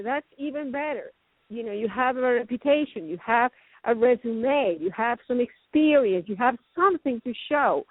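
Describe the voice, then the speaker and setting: A woman's voice: high voice, no room sound. Chatty woman on a tinny phone line. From raised in Venezuela and Canada. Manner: chatty, rambling, laughs often. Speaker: guest actress. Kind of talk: casual interview chat.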